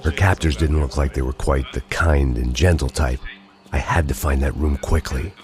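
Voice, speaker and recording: An actor playing a cynical, hard-drinking middle-aged ex-cop, narrating a video game in dry noir voice-over. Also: male voice, a bass voice, fairly even intonation, moderate pace. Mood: grim. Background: game score music.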